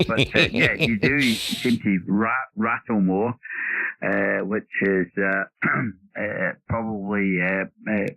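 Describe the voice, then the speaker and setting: An older man chatting over amateur radio, rambling and halting with long hesitations. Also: on the low side. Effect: radio transmission. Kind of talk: casual radio chat. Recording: narrow, muffled ham radio signal.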